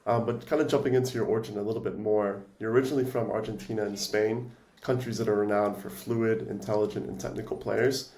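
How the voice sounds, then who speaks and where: A man hosting a podcast, asking smooth, prepared interview questions. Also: on the low side, steady tone, fast, a little mellow. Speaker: podcast host, co-founder. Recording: echoey room.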